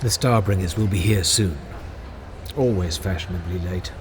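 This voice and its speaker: A man's voice: low voice, moderate pace, a little hiss or hum, lightly acted. A man playing a glib, needling diplomat in an audio drama, theatrical and persuasive, an estranged old friend. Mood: glib, exasperated. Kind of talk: full-cast audio drama acting. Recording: dramatic music under the voice.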